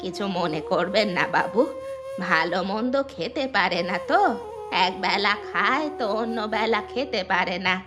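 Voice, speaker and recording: A woman's voice: medium pitch, expressive, normal speed, over music, close to an everyday voice. A woman playing a poor, humble, long-suffering village mother in a cartoon, plaintive and loving. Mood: pleading, apologetic. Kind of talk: Bengali fairy tale cartoon dubbing.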